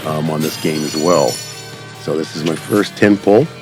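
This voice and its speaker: Casting: low-voiced male gaming host, chatty and rambling, with game music behind him. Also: measured pace. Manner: rambling, addresses viewers directly. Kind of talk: casual gameplay commentary.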